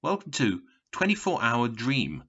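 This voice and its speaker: A man's voice: medium pitch, huge pitch swings, a little slow, sharp tone, breathy edge, lo-fi sound, exaggerated voice. A man playing a smug, swooping TV game show announcer. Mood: slick, teasing. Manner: glib, theatrical, condescending. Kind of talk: showy TV presenter patter in audio drama.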